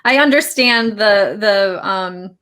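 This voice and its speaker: Woman host, bright clear voice, eager and encouraging, lots of ums. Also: medium pitch, slow pace. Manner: warm, eager, encouraging with frequent fillers. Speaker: interview host. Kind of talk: casual interview chat.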